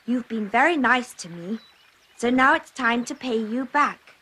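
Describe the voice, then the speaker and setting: A woman playing a clever, confident talking cat in a children's cartoon, brisk and reassuring with courtly manners. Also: a little high, animated, pushed and bright, breathy edge, heavily acted. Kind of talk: dubbed children's cartoon acting.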